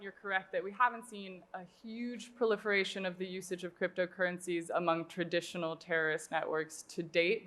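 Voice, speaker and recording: A woman gives an expert answer on a panel in a conversational style. Her voice is somewhat low for a woman. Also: a bit bright.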